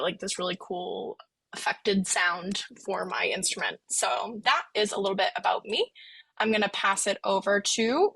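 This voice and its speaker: A woman's voice: mid-range voice, pushed and bright, no echo, poor audio. A woman working as a student success advisor, hosting an online info session in an upbeat, chatty way with frequent ums.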